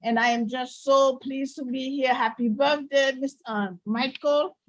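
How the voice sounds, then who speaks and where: A woman from Haiti speaking casually on a video call, proud and excited, laughing between phrases. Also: sits high, unhurried, no echo. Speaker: meeting participant.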